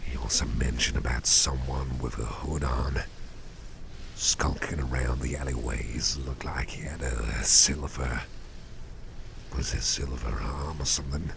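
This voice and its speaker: Man playing a very deep, whispering, caring boss. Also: fairly even intonation, background noise, heavily acted. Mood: musing, puzzled. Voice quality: breathy, whispery, gravelly low voice. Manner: gentle, unhurried, rambling, folksy wording. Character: caring, rambling, soothing male boss at home. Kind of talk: whispered ASMR roleplay.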